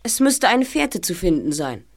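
A woman playing a methodical boy detective who leads his trio in an audio drama, explaining deliberately and decisively. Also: fairly low pitch, very animated. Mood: deliberate, reasoning. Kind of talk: amateur audio drama acting.

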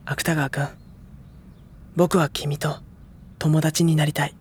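An actor playing a gentle, earnest high school boy who speaks and narrates sincerely in an audio drama. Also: level tone, takes it slowly, slight background noise. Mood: earnest, warm.

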